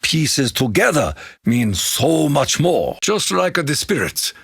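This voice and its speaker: An actor playing a solemn, honor-bound Japanese warrior in a video game, with a Japanese accent and a grave, formal, measured delivery in a gravelly, husky voice. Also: male voice, a little high, very animated, a bit bright, dry recording, studio quality, full character voice. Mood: stoic, determined. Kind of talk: scripted video game character quote lines.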